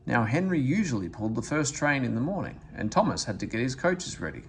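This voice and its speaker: A man reads a children's story aloud in an expressive narrator's style. His mid-range voice moves up and down in an animated way. The recording carries a faint background noise. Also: medium pitch, a little fast. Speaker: story reader.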